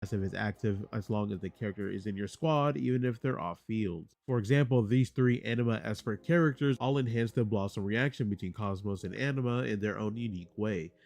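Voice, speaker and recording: A man reading scripted, explanatory narration for a game review in a measured way. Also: fairly low pitch, vocal fry, darkish tone.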